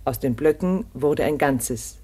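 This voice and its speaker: A man's voice: mid-range voice, unhurried, warm tone, slightly rough. A man narrating a scripted radio documentary drama in a solemn, literary manner.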